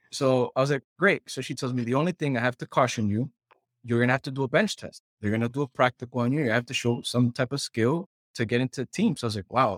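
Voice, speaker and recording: A man's voice: medium pitch, no room sound. Male interview guest from Puerto Rico, chef. Casual storyteller who quotes dialogue as he recounts, close dry sound. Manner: conversational, quotes himself and others while recounting. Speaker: guest, chef and culinary director.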